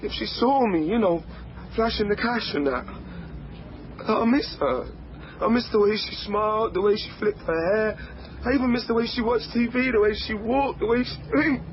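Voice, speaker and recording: Man acting lovelorn delivery bloke, high-pitched, hesitant, mild, radio comedy, faint background noise. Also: moderate pace, natural voice. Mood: heartbroken, plaintive. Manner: hesitant, plaintive. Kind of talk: radio comedy drama dialogue. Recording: dull sound with faint noise.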